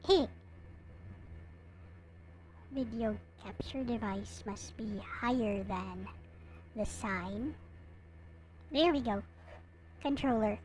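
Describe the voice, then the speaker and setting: High-pitched woman, slow casual show-and-tell. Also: darkish tone, a little hiss or hum. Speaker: vlogger showing collectible figures.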